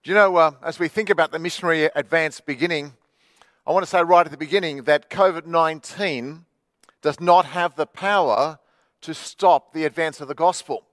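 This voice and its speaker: High-voiced pastor preaching. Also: male voice, a little fast, fairly bright tone, dry recording. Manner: chatty storytelling with many fillers. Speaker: Baptist pastor. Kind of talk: sermon. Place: church livestream.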